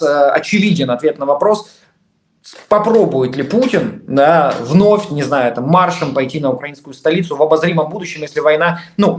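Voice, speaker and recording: A man interviewing a guest, asking measured questions with small hedges. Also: on the high side, reverberant.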